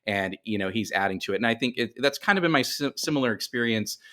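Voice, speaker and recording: A man's voice: on the low side, animated, fast talker, bright, forceful tone. A middle-aged man speaking as a podcast guest, telling his story conversationally and often doubling back on himself as he explains.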